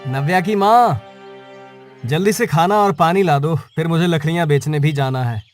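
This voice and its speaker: Man playing an earnest, higher-voiced village woodcutter. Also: normal speed, natural voice. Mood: concerned. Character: weary, troubled woodcutter, husband and father. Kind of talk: Hindi cartoon story dialogue. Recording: music under the voice. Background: music.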